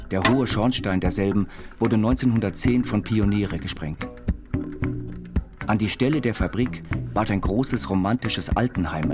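An actor playing an eccentric self-taught inventor, narrating his life story in measured, quaintly formal phrases for an audio biopic. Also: fairly low pitch, lightly acted. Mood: sober reminiscence. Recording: studio voice over loud music.

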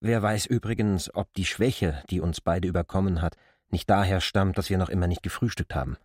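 An actor playing a stubborn, earnest lover in a literary radio drama, speaking in measured, deliberate phrases. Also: male voice, low voice, darkish tone, slightly rough, no echo, clean studio sound. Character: K., stubborn adult male lover, reproachful and earnest.

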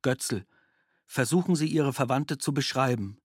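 An actor playing a neutral court reporter who reads out trial protocols with restraint for a documentary radio play. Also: male voice, mid-range voice, measured pace, no room sound, studio quality, lightly acted. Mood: neutral, matter-of-fact. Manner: neutral, restrained, not acted out. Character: neutral court reporter reading out a witness questioning.

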